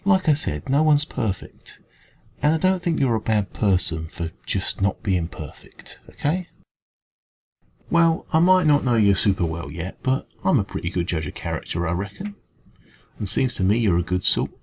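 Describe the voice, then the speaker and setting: A man with an English accent playing a kindly, gentle café dragon in an ASMR comfort roleplay, speaking in a hesitant, informal, reassuring way. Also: lowish voice, sing-song, normal speed, creaky, breathy edge, full character voice. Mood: earnest reassurance.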